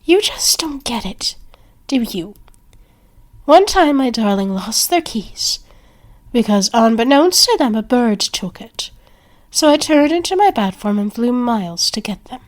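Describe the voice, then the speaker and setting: Casting: a woman as a yandere vampire for ASMR roleplay, higher voice, very unhurried, haughty, slipping into whispers. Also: big pitch swings. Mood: smug.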